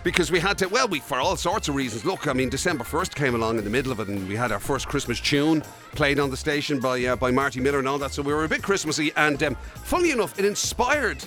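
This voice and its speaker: An Irish man co-hosting a radio show in casual, comic banter, excitable and given to exclaiming. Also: fairly high pitch, quick pace, few pauses, faint music behind. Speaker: radio show and podcast co-host.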